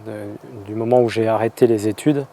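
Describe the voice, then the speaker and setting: A man from France telling his personal testimony, halting and full of hesitations and restarts. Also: on the low side, steady tone, mellow. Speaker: convert telling his story.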